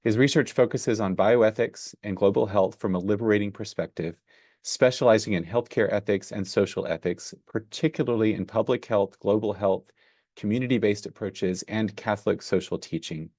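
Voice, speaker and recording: Male moderator, lowish voice, dry close sound, reads a prepared introduction. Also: a little mellow, no room sound. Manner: measured, reads a prepared bio. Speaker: seminar moderator introducing the guest. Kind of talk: reading a formal introduction.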